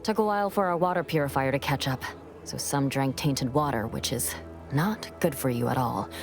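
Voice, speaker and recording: An actress playing an overworked medic in a video game, dry, clinical and matter-of-fact. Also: female voice, very deep voice, normal speed, over music. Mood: dry, weary. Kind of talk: video game character dialogue.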